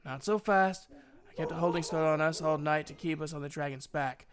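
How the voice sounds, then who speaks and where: A man voicing a proud elf mage in a storybook reading, a gruff but kindly mentor with emphatic, old-fashioned speech. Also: on the high side, brisk, crowd noise, lightly acted. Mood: weary, proud.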